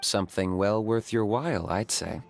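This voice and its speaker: An actor playing a cool-headed young male hunter for hire in a video game, calm and matter-of-fact. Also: fairly low pitch, moderate pace, gravelly. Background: game music.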